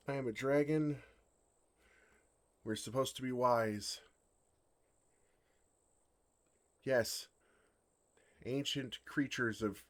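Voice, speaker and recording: A man voicing an ancient, gentle and oblivious dragon in an ASMR roleplay, with a halting, bewildered and earnest delivery. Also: mid-range voice, natural voice. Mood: sheepish indignation.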